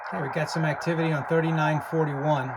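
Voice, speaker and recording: A man giving a casual, unscripted hands-on product demo, halting and pausing as he goes. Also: a little high, flat delivery. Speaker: radio hobby reviewer, video host. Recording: loud radio static and hiss behind. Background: shortwave radio noise.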